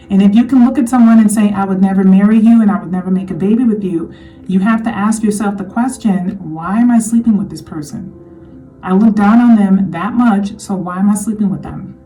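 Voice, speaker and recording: A woman speaks in an earnest, repetitive commentary style, her voice mellow and a little hoarse. She sits somewhat away from the mic. Soft music plays faintly behind her. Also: medium pitch, far from the mic, rough recording. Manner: earnest, repeats points to drive them home. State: slightly hoarse, losing her voice. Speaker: vlogger. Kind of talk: casual commentary monologue.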